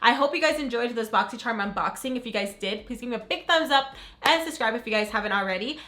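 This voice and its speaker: A young woman who is sick and raspy, chatting excitedly in a casual beauty unboxing. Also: on the high side, fast talker. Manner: gushing, upbeat, says "freaking" a lot. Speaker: beauty vlogger. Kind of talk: casual unboxing chat to camera.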